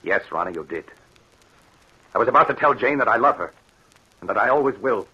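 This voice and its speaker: An actor playing a tormented, regretful husband in an old radio thriller, anguished and dramatic, with a husky, halting delivery. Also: male voice, medium pitch, lively intonation, muffled recording. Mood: bitter, resolute. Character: haunted, regretful husband tormented by his jealous wife.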